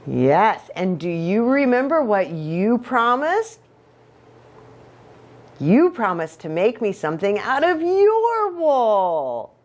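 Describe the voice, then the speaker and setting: A woman reads and chats to children in an expressive storytelling voice. She takes her time, and her pitch rises and falls dramatically at a middle register. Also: slow pace. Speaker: pastor reading a children's story.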